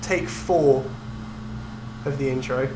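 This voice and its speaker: Young guy on a podcast, casual and self-deprecating, roomy echo with a clear hum behind him. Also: mid-range voice, slow pace. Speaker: podcast co-host. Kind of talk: casual chat. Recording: echoey room with background hum.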